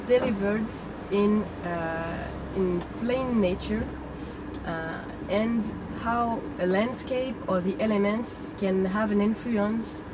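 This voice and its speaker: A woman hosting an informal interview inside a van, speaking hesitantly with pauses mid-sentence. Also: mid-range voice. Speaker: interviewer, host. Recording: clear vehicle and ventilation hum.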